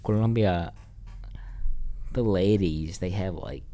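Man, fairly low pitch, casual podcast co-host, joking banter, halting, repetitive phrasing. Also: mellow. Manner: joking, halting, repeats himself.

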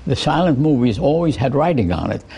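A cheerful man in his nineties whose first language was Yiddish, chatting in an interview and laughing easily. His voice is gravelly and husky with age. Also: mid-range voice, sing-song, fairly quick, warm tone. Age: 90s. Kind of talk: interview conversation.

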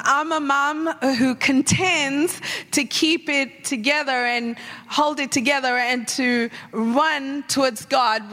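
High bright Sri Lankan-Australian woman preacher. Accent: Australian with Sri Lankan traces. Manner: heartfelt, repeats "Lord God" in fervent prayer. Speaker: pastor's wife, guest speaker. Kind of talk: church talk and prayer. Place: church hall. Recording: echoey church hall.

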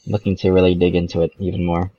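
A man's voice: sits low, level tone, fast talker, slight background noise. A male podcast co-host chatting casually, hesitant and thinking aloud as he goes. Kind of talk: casual chat. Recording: dull, lo-fi microphone sound.

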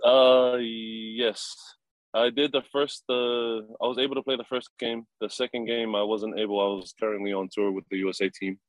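A young man in his twenties, a rugby player answering interview questions in a relaxed, halting way with many fillers and chuckles. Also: lowish voice, dry recording, low-quality recording. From New Zealand. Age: 20s.

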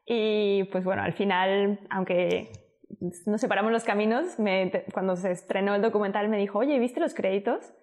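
A woman's voice: medium pitch, normal speed, darkish tone. A woman in her thirties from Ecuador with an accent mixing Spain and Mexico City, chatting as a podcast guest, laughing often and trailing off mid-phrase. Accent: Spanish from Spain mixed with Mexico City. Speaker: guest, entrepreneur. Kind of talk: casual conversational storytelling.

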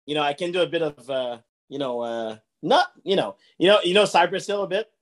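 A man who speaks natively, hosting a casual chat, halting and repeating words, full of "you know". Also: on the high side, animated.

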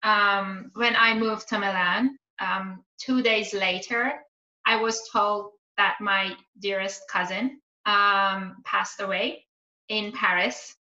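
A woman's voice: mid-range voice, steady tone, takes it slowly, sharp tone. A woman in her thirties from Iran, a podcast guest chatting casually with many hesitant ums. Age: 30s. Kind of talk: casual chat. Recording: roomy, dull lo-fi sound.

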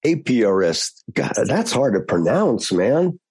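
A man's voice: medium pitch, sing-song, slow pace, darkish tone, husky, no echo. A man hosting a casual podcast with a nasal, stuffy voice while he recovers from flu, talking in a friendly, joking way. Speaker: podcast host.

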